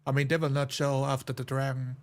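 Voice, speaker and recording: A man takes part in a tabletop roleplaying game. His mid-pitched voice stays very level, and he delivers his character's words and actions in a measured, deliberate manner. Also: level tone. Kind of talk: in-character roleplay with third-person narration.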